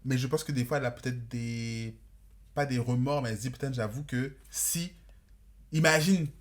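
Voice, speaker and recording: Guy in his 20s on a podcast, rambly slangy chat w bits of english, medium pitch. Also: moderate pace. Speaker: podcast guest. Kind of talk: casual chat.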